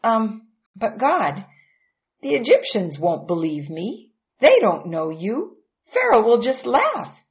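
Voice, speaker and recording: A timid shepherd man stammers and protests in his story, his voice jumping higher when he panics, as a woman reader puts him on. He speaks slowly and hesitantly on a dull, muffled recording. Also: wildly swooping pitch, heavily acted. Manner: hesitant, stammering with ums and uhs. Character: timid stammering male shepherd Moses, humble.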